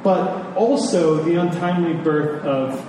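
A man's voice: a little high, unhurried. A man delivering a sermon as a pastor, earnest and emphatic, repeating words for stress. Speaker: pastor preaching to his congregation. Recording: echoey hall, distant mic, noisy background.

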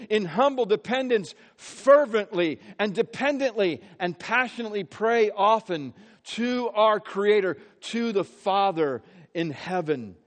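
Guy preaching, pretty high voice, earnest, lots of repeated words, big echoey hall. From American Midwest. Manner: earnest, repeats words while building phrases. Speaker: pastor. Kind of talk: sermon.

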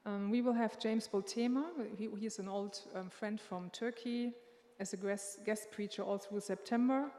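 Woman, church announcer, medium pitch, hesitant with many pauses, long reverb, large church hall. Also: dark tone. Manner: halting, with many filler pauses. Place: church sanctuary.

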